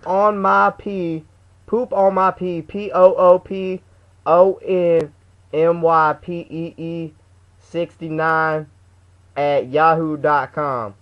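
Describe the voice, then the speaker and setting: Young man putting on stammering, slangy aggrieved caller, muffled. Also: on the high side. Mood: matter-of-fact. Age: young adult. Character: slangy, aggrieved man reporting a broken TV to customer service. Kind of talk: prank phone call. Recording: dull lo-fi webcam audio.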